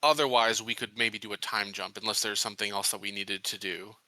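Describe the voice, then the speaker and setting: Man, very bright forceful voice, medium pitch, dry sound, tabletop player, casual tentative suggestions. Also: steady tone, fairly quick, no echo, rough recording. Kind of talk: casual game discussion.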